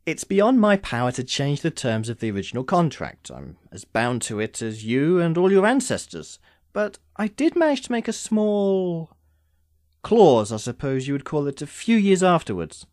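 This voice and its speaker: A man's voice: on the high side, darkish tone, no room sound. Immortal sorcerer played by a man, audio drama: suave, teasing, formal, swooping mid-range voice, very dry sound. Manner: measured, theatrical, formal phrasing.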